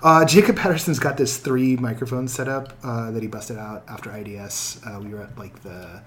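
A man's voice: medium pitch, fairly even intonation, a little fast, a bit bright, a little hiss or hum. A man hosting a podcast in casual chat, rambling and self-deprecating with many restarts. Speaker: podcast host.

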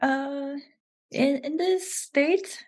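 A woman from South Korea with a Korean accent, talking as an interview guest in a halting way, often restarting and laughing. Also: sits high, a little mellow. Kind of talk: casual interview.

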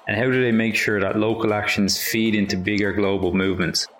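A man with a fairly low voice hosts a podcast. He sets up the episode from a script, and faint music plays underneath. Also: brisk. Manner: friendly, scripted intro then relaxed questions. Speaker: podcast host. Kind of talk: podcast intro and interview.

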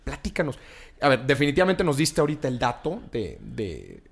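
A woman hosting an interview, lively and quick to affirm her guest. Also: very deep voice, vocal fry, husky. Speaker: interview host. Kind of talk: conversational interview.

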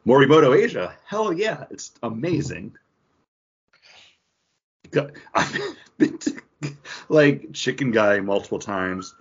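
A man's voice: mid-range voice, animated, takes it slowly, creaky, long pauses, breathy edge. An American man chatting casually as a podcast co-host. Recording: dull, lo-fi audio.

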